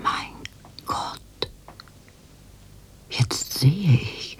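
An actress playing a worldly older fortune-teller in a radio drama, cooing endearments and making theatrical, oracular pronouncements in a breathy, husky voice. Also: female voice, mid-range voice, dark tone, exaggerated voice. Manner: slow, insinuating, oracular, cloying endearments.

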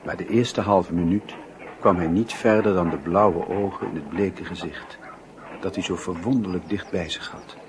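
A man narrating a literary radio drama in a measured, solemn storytelling manner. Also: low voice, natural voice. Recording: noisy old radio drama recording.

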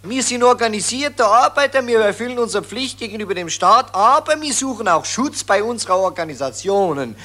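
A smug, callous Viennese army officer in an old radio drama. The voice is bright and sharp, swinging widely in pitch as he tells his boastful anecdotes in loose dialect on a vintage recording. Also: expressive, brisk, hardly any pauses, exaggerated voice. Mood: boastful, indignant. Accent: Viennese Austrian. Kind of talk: 1940s German radio play acting.

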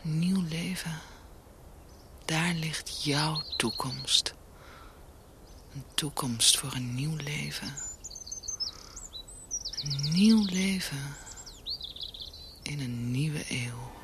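Slow, tender mother amid birdsong. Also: sits low, heavily acted. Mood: wistful, hopeful. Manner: cooing, gentle, wistful, short repeated phrases. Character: tender, doting mother talking to her baby son. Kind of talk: radio drama acting. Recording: outdoor scene with birdsong and noise.

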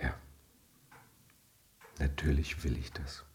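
An actor playing a charming, evasive gambling husband in a radio drama, cajoling and affectionate in a glib way. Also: male voice, a bass voice, fairly even intonation, takes it slowly, darkish tone, breathy edge, full character voice. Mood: contrite, pleading.

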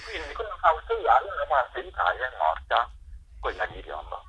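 A man, a playwright being interviewed, explaining thoughtfully and hesitantly, searching for words in a husky voice. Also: mid-range voice, very animated, moderate pace, bright, forceful tone. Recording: dull lo-fi radio interview line.

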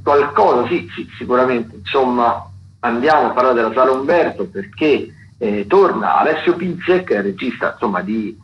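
A man's voice: medium pitch, highs cut off, a little hiss or hum. A man working as a theatre critic gives casual radio commentary, improvising loosely with many hesitations. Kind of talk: casual spoken listings commentary.

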